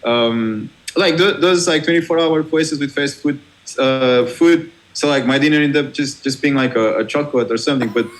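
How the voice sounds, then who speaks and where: A man's voice: medium pitch, rough recording. A young man from Portugal with a Portuguese accent talks as an interview guest. He is a DJ who restarts and repeats his words often, laughs easily, and keeps a casual tone. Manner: halting, many restarts and fillers, laughs easily. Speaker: guest DJ and music producer.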